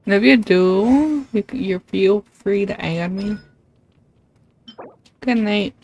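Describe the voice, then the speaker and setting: A young woman chatting casually as she livestreams a game, in a halting, rambling way. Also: medium pitch, darkish tone. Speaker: livestreaming gamer. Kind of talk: casual chat while playing a game. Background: video game music and combat sound effects.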